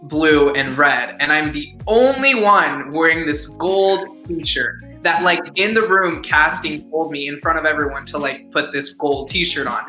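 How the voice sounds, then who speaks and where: A man's voice: a little high, measured pace, few pauses, bright, forceful tone, soft background music. A young adult man chatting eagerly as a guest actor in a casual interview, with lots of "like" in his speech. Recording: echoey room.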